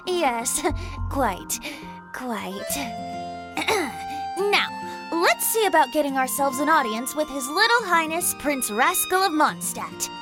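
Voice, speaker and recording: A woman's voice: high-pitched, sing-song, slow pace, music in the background, heavily acted. A woman voicing a playful young male bard in a video game, theatrical and flowery in speech.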